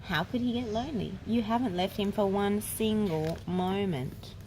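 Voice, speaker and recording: A woman's voice: mid-range voice, normal speed, mellow, slight background noise, pitched above the performer's own voice, close to an everyday voice, low-quality recording. A woman voicing a young boy, a patient and questioning older brother in a storybook. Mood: friendly.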